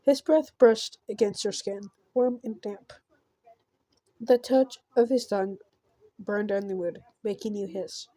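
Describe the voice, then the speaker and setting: A woman reading visual novel text aloud in an amateur let's play, halting and often repeating words as she goes. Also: mid-range voice, steady tone, warm tone, dry recording.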